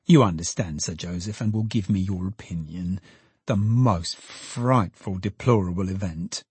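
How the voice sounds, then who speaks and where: A man voicing an agitated, secretive gentleman secretary in an audiobook, speaking gravely and deferentially. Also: low-pitched, a little slow, no room sound, heavily acted. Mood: grave, confidential. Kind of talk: dramatized audiobook character voice.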